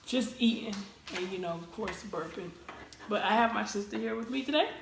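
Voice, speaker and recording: A hoarse woman with a gravelly edge to her voice chats in a relaxed way between mouthfuls. She sounds like she is in an echoey room, with clear background noise. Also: mid-range voice, brisk. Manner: relaxed, chatty, talking between bites. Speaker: channel host. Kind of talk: casual mukbang chat while eating.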